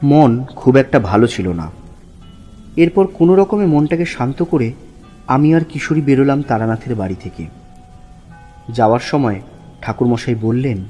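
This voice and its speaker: A man's voice: medium pitch, animated, moderate pace, long pauses, dark tone, natural voice. A man playing an anxious husband who narrates his own haunting in a horror audio drama, uneasy and dramatic. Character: anxious married male narrator, haunted follower of the tantric. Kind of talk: first-person horror story narration with acted dialogue. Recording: music under the voice.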